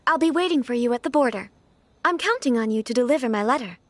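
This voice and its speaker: High-voiced, refined young noblewoman. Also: lively intonation, no room sound. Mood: confiding. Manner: formal, courteous, measured. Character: refined young noble daughter, polite and earnest. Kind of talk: anime game voice acting.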